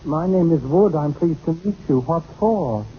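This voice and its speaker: A man's voice: on the high side, expressive, normal speed, lightly acted. An actor playing a mild, unflappably polite man next door in an old radio comedy. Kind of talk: 1940s-50s radio comedy acting. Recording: very noisy, muffled old radio recording.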